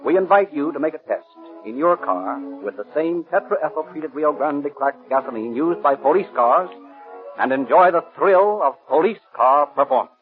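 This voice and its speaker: A man announcer delivers a persuasive, declamatory sponsor pitch in a somewhat high voice. He sounds thin and tinny, like an old radio broadcast. Faint music sits underneath. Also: lively intonation, normal speed. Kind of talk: 1930s sponsor advertisement reading.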